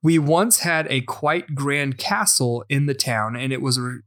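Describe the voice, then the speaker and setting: Male podcast host, informal and conversational, mid-pitched voice, stumbles a little when reading. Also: fairly bright tone. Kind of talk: casual podcast chat, reading out a listener message.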